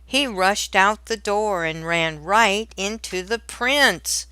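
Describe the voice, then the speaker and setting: A woman narrating a children's storybook aloud in a deliberate, expressive storytelling manner. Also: mid-range voice, smooth, no room sound.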